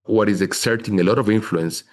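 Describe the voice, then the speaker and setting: Deep-voiced man explaining. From Chile. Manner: measured, explanatory, frequent "you know" fillers. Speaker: investment officer guest. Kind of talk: interview answers.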